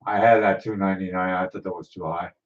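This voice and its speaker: A man in his late sixties chatting casually with viewers during a livestream, halting and trailing off as he rambles. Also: low-pitched, steady tone. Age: 60s. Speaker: livestream host. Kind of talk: casual live chat with viewers. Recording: distant, dull lo-fi sound.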